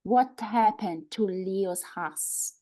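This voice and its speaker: Female actor as confused woman behind a wall, mellow dark timbre, slow stretched words, bewildered, screenplay drama. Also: mid-range voice. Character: Aoife, confused woman shouting through a wall. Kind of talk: dramatic live screenplay table read.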